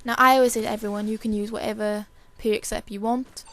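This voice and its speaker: A woman with a dry, hoarse voice giving casual, chatty and rambling commentary over a video game. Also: a little high, fairly even intonation, quick pace, fairly bright tone. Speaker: gaming video creator.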